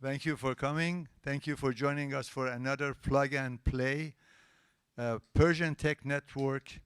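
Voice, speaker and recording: A man hosting a live tech meetup, politely but firmly asking the audience for quiet and introducing his guest before interviewing her. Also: mid-range voice, steady tone, a little slow, smooth, no room sound, studio quality. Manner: polite but firm, asks the crowd for quiet. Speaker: event host and interviewer. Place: event hall with a live audience.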